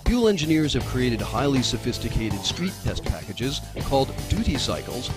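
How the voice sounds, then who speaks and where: A man's voice: mid-range voice, very animated, normal speed. A man reading scripted promotional narration for a company training film. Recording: music playing behind the voice. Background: background music.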